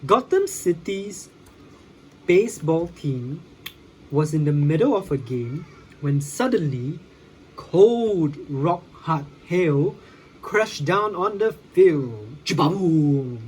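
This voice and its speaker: An expressive read-along storyteller with a very husky voice. The pitch is animated and dramatic, and the pace is slow enough for young listeners to follow. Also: poor audio. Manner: dramatic, with sound effects.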